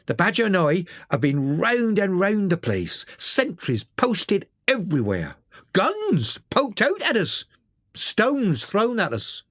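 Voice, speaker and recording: A reader voicing a cheery, excitable male mole in a storybook. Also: medium pitch, takes it slowly, no room sound, clean studio sound, voice pushed up, full character voice. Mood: earnest. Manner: exclamatory, eager storytelling.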